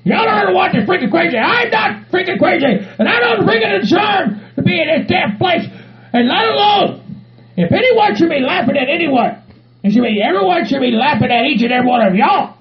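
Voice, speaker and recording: A man's voice: extremely high pitch, lively intonation, racing. A man playing an agitated, exasperated hillbilly with a Southern US accent, ranting repetitively in a comedy skit. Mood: exasperated, angry. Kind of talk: improvised comedy skit in character voices. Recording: muffled lo-fi recording with faint music.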